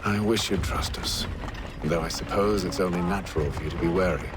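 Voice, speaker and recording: An actor playing a calm, authoritative officer who commands his men in measured, clipped sentences, in a video game scene. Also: deep voice, noisy background, close to an everyday voice. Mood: commanding. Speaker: commander leading an ambush. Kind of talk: video game cutscene dialogue.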